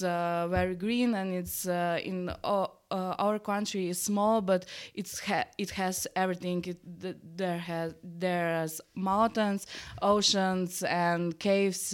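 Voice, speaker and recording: Slow, clear-voiced Slovenian woman. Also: lowish voice. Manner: halting, simple non-native English. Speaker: teacher being interviewed. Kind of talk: unscripted interview answers.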